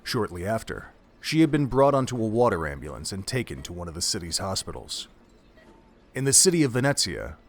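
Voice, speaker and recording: A man reading dramatic scripted narration for an audio drama, in the manner of an audiobook. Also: lowish voice.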